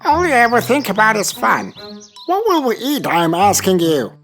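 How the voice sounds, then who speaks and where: A woman's voice: medium pitch, sing-song, normal speed, fairly bright tone, faint music behind. A woman voicing a small, hardworking, sensible male ant in a children's cartoon, with an earnest, reproachful manner.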